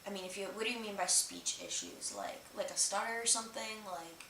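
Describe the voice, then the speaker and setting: A woman's voice: medium pitch, a little fast, sharp tone. A woman hosting a livestream, chatting casually and explaining things in a rambling, hesitant, informal way. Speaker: livestream host. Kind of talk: casual livestream chat, explaining and reading out viewer comments. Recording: roomy, distant mic with faint hiss.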